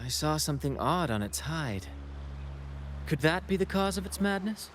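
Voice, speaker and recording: An actor playing a flamboyant, theatrical ship captain in a video game, with grand, courtly phrasing. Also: male voice, on the high side, big pitch swings, normal speed, a little hiss or hum. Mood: courtly, thoughtful. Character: flamboyant swashbuckling ship captain leading a merry theatrical troupe. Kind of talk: video game character acting.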